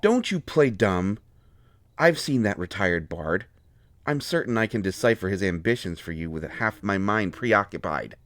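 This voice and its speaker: A man playing an indignant, hurt healer mage in a fantasy roleplay audio, expressive, sarcastic and exasperated. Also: fairly low pitch, moderate pace, no echo. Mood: sarcastic, frustrated. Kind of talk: dramatic roleplay audio acting.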